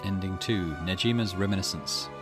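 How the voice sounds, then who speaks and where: Solemn occult-story narrator read by a man, low and measured, music clearly underneath. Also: natural voice. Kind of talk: scripted narration read aloud from a visual novel. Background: game music.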